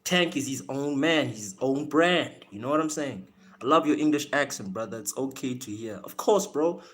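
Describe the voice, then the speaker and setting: A man's voice: medium pitch, lively intonation, normal speed. A man with a South African accent mixed with American, hosting a casual live stream in slangy talk and often repeating his words and phrases. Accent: South African with American influence. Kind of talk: casual boxing talk, chatting to viewers.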